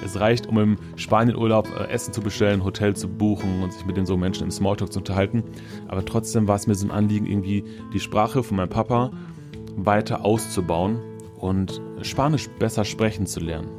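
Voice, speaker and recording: A man in his thirties from Germany hosts his own podcast from his office, speaking in a low, relaxed register. He talks loosely and cheerfully, leaning on fillers as he goes. Gentle guitar music sits faintly in the background. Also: fairly quick. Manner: chatty, loose and upbeat, many fillers. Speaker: podcast host. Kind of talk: casual solo podcast chat.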